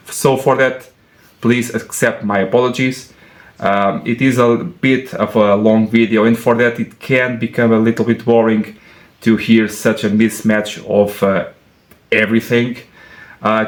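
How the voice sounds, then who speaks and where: A man with a Portuguese accent, a mechanic and channel host, talking earnestly in a halting way, with many fillers and restarts. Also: medium pitch, echoey room. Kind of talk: apologetic casual vlog talk. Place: car workshop.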